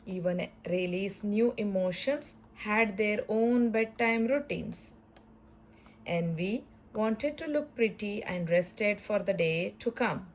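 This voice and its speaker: A woman reading a children's story aloud in an expressive, deliberate storytelling style. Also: mid-range voice, a little hiss or hum, rough recording. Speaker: storybook reader.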